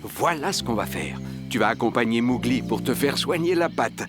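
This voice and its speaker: A man voicing a big, good-natured bear in a French cartoon dub, blustery and protective, with expressive, hesitant interjections. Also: mid-range voice, normal speed, close to an everyday voice. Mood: calm, protective. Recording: music under the dialogue.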